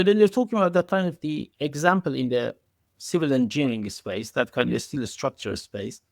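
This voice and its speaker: A man's voice: mid-range voice, no echo, studio quality. A man speaking as an expert podcast guest, explaining technical ideas in a hesitant, circling way with frequent self-corrections.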